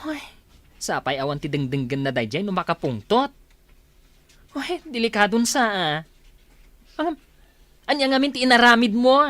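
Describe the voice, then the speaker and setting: Animated, scolding confidant, acted by a woman. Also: a little high, moderate pace, pauses often, dry recording. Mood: alarmed scolding. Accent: Ilocano. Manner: exclamatory, colloquial. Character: concerned confidant, scolding and cautioning. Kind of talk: radio drama acting.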